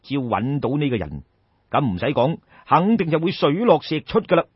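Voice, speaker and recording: A man's voice: on the high side, sing-song, normal speed, breathy edge, no echo, muffled recording. A man with a Cantonese accent telling a story in a colloquial, theatrical traditional oral style, addressing listeners directly. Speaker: storyteller, audiobook narrator.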